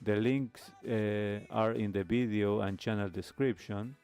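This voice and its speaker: A man hosting a live stream radio show, speaking reflectively in a measured, halting way with pauses. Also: on the low side, fairly even intonation, darkish tone, smooth. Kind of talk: reflective host talk, signing off a live stream. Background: music playing under the voice.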